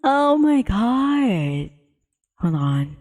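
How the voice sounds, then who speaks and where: A woman's voice: fairly high pitch, sing-song, very slow, a little mellow. A woman livestreamer chatting casually as she sets up a game, giggly and given to exclamations. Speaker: livestreamer host. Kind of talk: casual livestream chat while setting up and playing a visual novel. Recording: music playing behind the voice. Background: background music.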